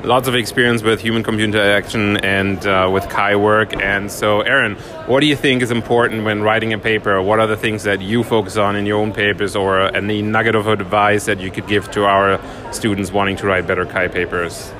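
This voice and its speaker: Low-voiced male podcast host in a crowd. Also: level tone, brisk, hardly any pauses. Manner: brief, upbeat prompts. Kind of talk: casual interview.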